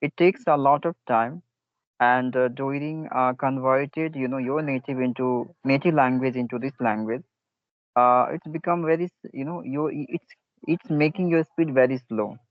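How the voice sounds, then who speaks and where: A man's voice: medium pitch, moderate pace, dry recording. A man coaching English in a practice call, giving advice in short phrases with frequent "you know" fillers. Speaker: English speaking coach and host. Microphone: online call. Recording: dull lo-fi dry call audio.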